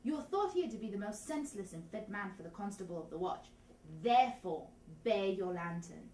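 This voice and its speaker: A woman's voice: medium pitch, lively intonation, brisk, darkish tone, lightly acted. A woman playing a comically pompous, self-important constable in a declamatory Shakespearean style with a put-on funny accent. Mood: pompous, emphatic. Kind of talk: Shakespearean comedy, theatre audition reading. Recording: roomy, distant, noisy room recording.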